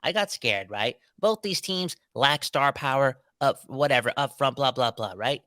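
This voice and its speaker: A man's voice: mid-range voice, animated, moderate pace, bright, forceful tone, dry recording, studio quality. A man co-hosting a sports podcast, talking in a casual, emphatic, slangy way with lots of "right?" and "bro". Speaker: podcast co-host.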